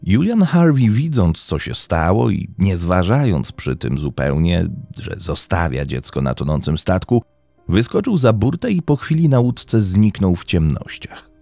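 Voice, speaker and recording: A man with a low, mellow voice tells a dark true story as a podcast narrator. His delivery is scripted and suspenseful, with lively swings in intonation. Faint music plays underneath. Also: a little slow, creaky, dry recording. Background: music bed under the voice.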